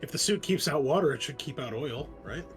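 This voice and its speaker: A man's voice: a little high, lively intonation, a little fast. A man playing a tabletop roleplaying game, chatting casually with a wry, joking manner. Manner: wry, joking, explains rules readily. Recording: faint music under the voice. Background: faint music.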